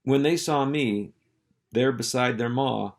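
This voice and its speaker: A man reading a children's storybook aloud as an expressive narrator. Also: mid-range voice, slow. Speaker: storybook reader.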